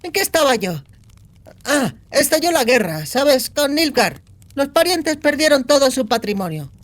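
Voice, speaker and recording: A woman's voice: high-pitched, big pitch swings, normal speed. A brash young outlaw girl in a dramatized fantasy audiobook. She talks in slangy, crude bursts with a cynical, sardonic edge. She sounds streetwise and foul-mouthed. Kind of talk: acted audiobook dialogue.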